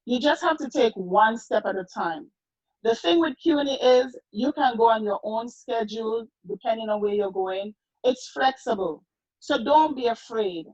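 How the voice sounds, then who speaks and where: A woman's voice: fairly high pitch, normal speed, a little mellow. A woman graduate giving firm, encouraging advice. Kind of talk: video call conversation. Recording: dull lo-fi call audio.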